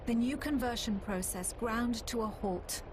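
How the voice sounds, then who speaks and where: An actress playing a grave war narrator in a video game, solemn and measured, with music under her voice. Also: fairly high pitch, noisy background, close to an everyday voice. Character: grave woman recounting a war against aliens.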